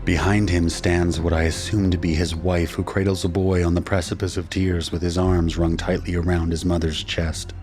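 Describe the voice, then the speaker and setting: A man's voice: low-pitched, level tone, moderate pace, hardly any pauses, darkish tone, soft background music, close to an everyday voice. A man playing an anguished husband and father, narrating an audio drama in a grave, dramatic way. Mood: grave, tense. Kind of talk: scripted first-person audio drama narration.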